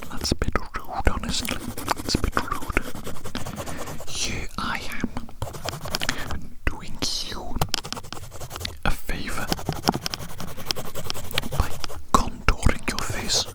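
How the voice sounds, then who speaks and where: A man's voice: drawn-out words, long pauses. A man speaks entirely in a whisper, very close to the microphone. He rambles as an ASMR host giving personal attention, often repeating a phrase and asking the listener teasing questions.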